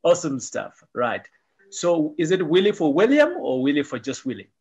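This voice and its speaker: A man's voice: fairly high pitch, very animated, normal speed, a little mellow, breathy edge, lo-fi sound. A middle-aged man hosting a casual interview over a video call, upbeat and repeating friendly phrases. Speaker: host.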